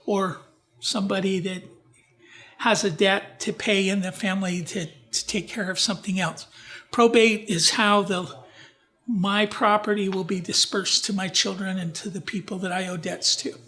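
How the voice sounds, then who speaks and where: A man's voice: high voice, fairly even intonation, pushed and bright. A man presenting a genealogy talk at a library, speaking informally with slides, often restarting his sentences and putting questions to the audience. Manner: halting, with frequent restarts and asides to the audience. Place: library event room.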